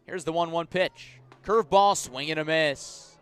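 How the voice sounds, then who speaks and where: A man calling a baseball game live on the radio, describing each pitch in measured phrases and lifting his voice for key plays. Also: a bit bright, clear, resonant tone. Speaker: baseball play-by-play announcer. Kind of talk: live radio sports commentary.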